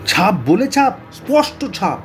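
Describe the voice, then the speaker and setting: Middle-aged police detective, blustery, talkative, stammering, very animated delivery, audio drama, music underneath. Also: male voice, very high-pitched, a little slow, full character voice. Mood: excited, emphatic. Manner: blustery, hesitant stammers and repeated words. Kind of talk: Bengali audio story acting.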